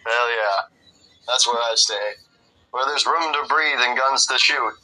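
A man's voice: medium pitch, fairly even intonation, measured pace, pushed and bright, poor audio. A man from upstate New York chatting casually and laid-back, swearing freely. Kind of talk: casual chat.

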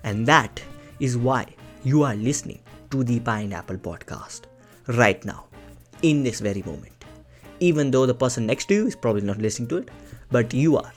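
A man's voice: mid-range voice, natural voice. A man putting on an exaggerated Indian accent, speaking in a grandiose, repetitive, mock-dramatic way. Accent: put-on Indian.

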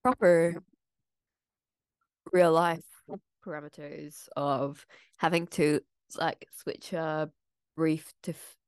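A woman's voice: low voice, fairly even intonation, slow pace, long pauses, clean tone, dry recording, rough recording. A woman co-hosting a casual podcast, a theatre maker talking through her plans in a thoughtful, explaining way. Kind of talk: casual chat.

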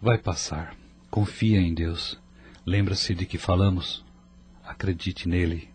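A middle-aged man playing a devout, steady father in a radio drama, calm and measured. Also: deep voice, slight background noise. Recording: music behind the voice.

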